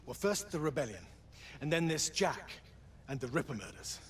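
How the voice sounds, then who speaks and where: An actor playing a courteous, wordy Victorian police commissioner in a game cutscene, measured and musing. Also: male voice, fairly high pitch, very animated. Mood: pondering. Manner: measured, verbose, genteel. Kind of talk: dramatic game cutscene acting.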